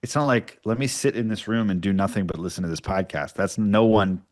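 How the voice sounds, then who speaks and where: A man's voice: lowish voice, brisk, a little mellow. A man speaking informally and a little self-deprecatingly as a guest writer-producer in a casual interview. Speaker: guest writer-producer of podcast audio dramas.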